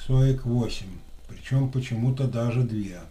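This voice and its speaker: A man in his thirties with a lisp, giving casual, unhurried hands-on commentary as he works at a desk. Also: mid-range voice, mellow. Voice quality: lisping after dental work. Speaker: electronics repair technician and video host. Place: small room at a desk, indoors. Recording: roomy echo with clear background noise.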